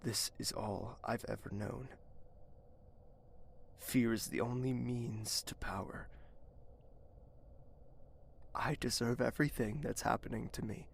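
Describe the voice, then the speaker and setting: A slow, gravelly young nobleman, voiced by a man, pours out his guilt to a goddess in an audio drama. He starts in a hushed confession and rises into anguished pleading. Faint ambience hums behind him. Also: medium pitch, steady tone, slight background noise. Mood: remorseful.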